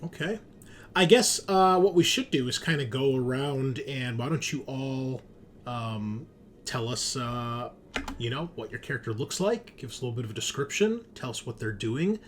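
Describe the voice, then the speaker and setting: A man's voice: medium pitch, lively intonation, normal speed, a little hiss or hum. A man narrating a tabletop roleplaying game as game master, explaining things conversationally and addressing his players directly.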